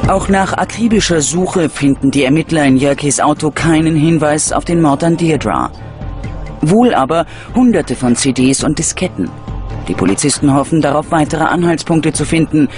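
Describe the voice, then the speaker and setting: A male narrator with a fairly high voice delivers scripted true-crime documentary narration. His delivery is measured and dramatic, with music clearly playing behind him. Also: animated.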